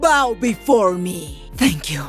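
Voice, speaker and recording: An actress playing an imperious, intimidating vampiric empress in a video game. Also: female voice, lowish voice, big pitch swings, slow pace, faint music behind.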